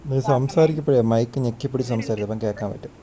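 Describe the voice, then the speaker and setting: Male gaming host, casual and very quick, mellow tone, slight background noise. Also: medium pitch. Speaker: gaming video creator and host. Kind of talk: casual game commentary to viewers.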